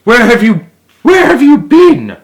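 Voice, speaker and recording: A man voicing a worried grandmother who scolds her grandson, in a shrill, high voice. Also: huge pitch swings, measured pace, exaggerated voice. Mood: scolding.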